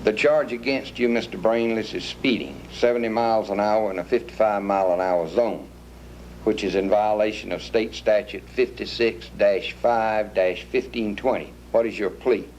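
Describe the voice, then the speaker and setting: Casting: male actor as procedural courtroom judge for instructional film, low-pitched, official tone, slight background hum. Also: steady tone, moderate pace, darkish tone, natural voice, low-quality recording. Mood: formal. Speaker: judge in a training film reenactment. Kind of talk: scripted courtroom reenactment.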